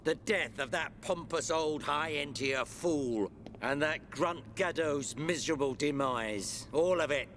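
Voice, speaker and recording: A man's voice: fairly high pitch, measured pace, fairly bright tone, slight background noise. A man voicing a mocking, callous traitor in a video game, sneering and taunting. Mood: mocking, gloating. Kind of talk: video game cutscene acting.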